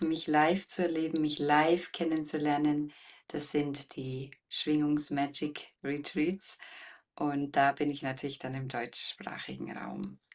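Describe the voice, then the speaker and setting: Low warm female voice, very unhurried, reflective explaining, interview guest, distant from the mic. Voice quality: deep, warm. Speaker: interview guest, voice coach and former singer.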